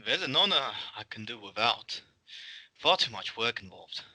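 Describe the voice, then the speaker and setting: A man's voice: mid-range voice, big pitch swings, moderate pace, sharp tone, no room sound, heavily acted, poor audio. A man playing a lazy, flirtatious nobleman in a script table read, with a languid, teasing manner.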